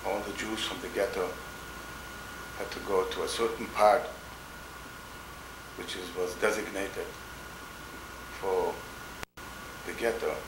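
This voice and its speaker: An older man from Poland giving oral history testimony in a slow, halting way, often repeating words. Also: deep voice, little pitch movement. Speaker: interviewee, Holocaust survivor. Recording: echoey room with background noise.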